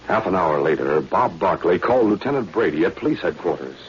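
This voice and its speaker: A man's voice: low voice, animated, fairly quick, highs cut off. An American man playing the narrator of a 1940s radio spy adventure, reading scripted narration. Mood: dramatic. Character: brisk male narrator of a spy adventure serial. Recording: noisy, band-limited old radio recording.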